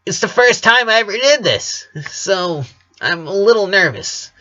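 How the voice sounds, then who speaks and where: A man's voice: high-pitched, big pitch swings, measured pace, bright, forceful tone, lo-fi sound, voice pushed up, exaggerated voice. A man voicing a defensive, bashful sporty tomboy sister in a story reading. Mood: forced calm. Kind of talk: storybook character voice.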